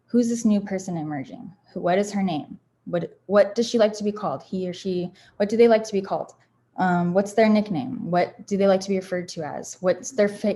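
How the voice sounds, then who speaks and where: A woman's voice: mid-range voice, dark tone. A woman giving a casual motivational talk about affirmations, candid and rambling, with many fillers and restarts. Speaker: founder sharing self-help affirmations.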